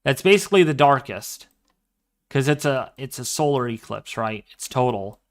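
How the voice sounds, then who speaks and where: A man in his twenties hosting a casual livestream, talking through an astronomy program with excitable exclamations as he thinks aloud. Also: mid-range voice, normal speed. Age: 20s. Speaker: livestream host.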